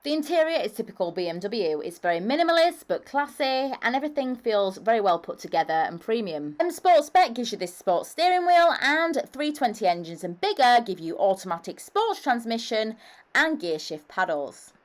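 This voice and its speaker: A woman's voice: fairly high pitch, big pitch swings, normal speed. A woman reading an upbeat, scripted voice-over for a car review. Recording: voice-over with faint music underneath.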